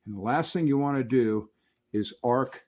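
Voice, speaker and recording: A man presents an equipment review and demonstration at a medium pitch. He explains technical details step by step, in a measured, explanatory manner, like a host walking viewers through a product. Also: darkish tone.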